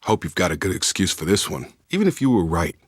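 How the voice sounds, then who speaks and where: Principled young soldier, very low voice, direct military phrasing, dry close studio sound, sci-fi game. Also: male voice, very animated, quick pace, slightly rough, dry recording, full character voice. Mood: measured, matter-of-fact. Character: steady, principled male soldier in a sci-fi crew. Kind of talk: video game voice acting.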